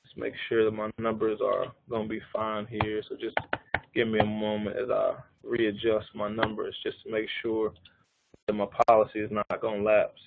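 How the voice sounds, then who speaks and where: A man's voice: lowish voice, completely flat delivery, moderate pace, vocal fry, noisy background. Man in his thirties on a phone line. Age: 30s. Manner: explains step by step, often checking in with "okay". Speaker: life insurance presenter. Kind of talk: live conference-call walkthrough. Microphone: phone or call line. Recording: narrow phone-line audio.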